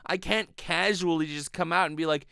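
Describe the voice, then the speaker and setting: Clear, dry-sounding man telling comedic stories. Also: a little high, moderate pace, no room sound. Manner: animated, profane, rambling storytelling. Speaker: podcast host. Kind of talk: comedic confessional monologue. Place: small bedroom, indoors. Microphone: close desk studio microphone with pop filter.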